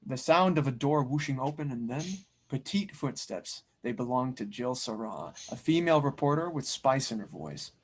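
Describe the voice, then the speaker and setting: A man's voice: medium pitch, expressive, normal speed, few pauses. A man acting as host and narrator, introducing and reading stage directions at a script table read.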